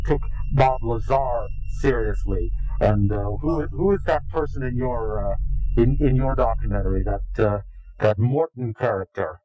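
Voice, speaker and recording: A man's voice: mid-range voice, poor audio. A man answering interview questions formally in an indignant, sarcastic and wordy way, his voice slightly unnatural from digital processing. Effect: voice changer removed, slightly unnatural. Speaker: interview guest, anonymous whistleblower.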